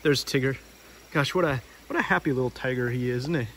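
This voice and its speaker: A man's voice: medium pitch, quick pace, a bit bright, slight background noise. A man hosting a read-aloud outdoors, narrating a storybook with casual asides. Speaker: storybook reader and video host. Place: outdoors by a river.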